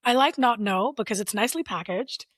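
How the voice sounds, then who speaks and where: A woman's voice: a little high, fairly quick, fairly bright tone, no echo, studio quality. A woman in her thirties co-hosting a podcast, chatting casually, playful and laughing, asking questions. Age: 30s. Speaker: podcast co-host. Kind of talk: casual chat.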